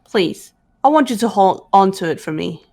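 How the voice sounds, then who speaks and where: A woman voicing a cool, determined teenage detective girl in a visual novel game, measured and reserved. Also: mid-range voice, expressive, gravelly, dark tone. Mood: quiet resolve.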